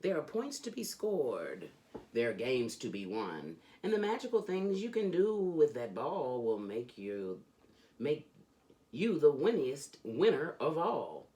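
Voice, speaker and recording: A woman reading a children's storybook aloud as host, with theatrical, emphatic delivery and drawn-out phrases. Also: low-pitched, sing-song, warm tone.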